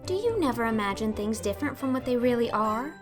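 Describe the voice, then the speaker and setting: A woman's voice: fairly high pitch, animated, fast talker, over music, lightly acted. A woman voicing an imploring, talkative, dreamy orphan girl in an audiobook, with a gushing, earnest and dramatic delivery. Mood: wistful, hopeful. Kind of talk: audiobook character acting.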